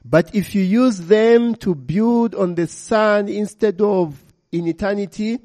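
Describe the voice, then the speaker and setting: A man voicing the playful, wise spirit of a small girl, speaking gently and earnestly. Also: high voice, slow pace, voice pushed up. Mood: gently chiding.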